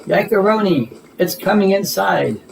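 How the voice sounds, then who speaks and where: A man voicing an excitable, jumpy young monster girl in a children's storybook, exclamatory and childlike. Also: high-pitched, a little slow, creaky, recorded from a distance, a little hiss or hum, pitched above the performer's own voice, full character voice, low-quality recording. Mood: alarmed.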